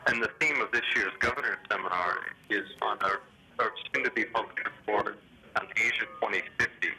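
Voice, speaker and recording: A man giving formal, hesitant economic commentary in a phone interview, speaking in a measured, official way over a telephone. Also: fairly low pitch. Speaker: development bank official being interviewed. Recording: sharp, grainy telephone line.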